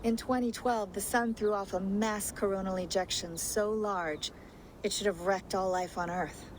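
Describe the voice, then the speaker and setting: A woman playing a steady, blunt modern team member in a game cutscene, matter-of-fact in delivery. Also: medium pitch, moderate pace, slight background noise, close to an everyday voice. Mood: firm. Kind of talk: naturalistic game cutscene acting.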